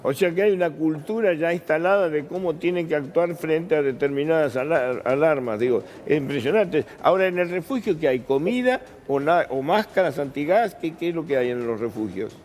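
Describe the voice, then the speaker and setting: A man's voice: fairly high pitch, animated, fairly quick, few pauses, a little mellow. A man with an Argentine accent, a news presenter improvising questions and repeating words as he forms them.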